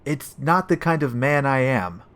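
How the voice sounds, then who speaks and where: A man acts as an upright but dim police detective in a comedy audio drama. His mid-pitched voice moves up and down a lot, always earnest and literal-minded. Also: expressive, measured pace, a bit bright. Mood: earnest, defensive.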